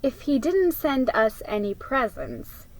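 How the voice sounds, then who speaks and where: A woman's voice: high voice, wildly swooping pitch, slow, voice pushed up, heavily acted, poor audio. A woman voicing a know-it-all older sister, a girl with a patient, lecturing manner, in a storybook. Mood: patiently lecturing.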